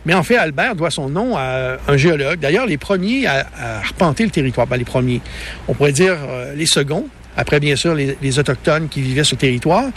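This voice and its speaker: A man from Gaspésie with a Québécois accent, explaining a national park in a relaxed interview outdoors. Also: a little high, fairly quick, slight background noise. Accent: Québécois French. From Gaspésie, Quebec. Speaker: park guide.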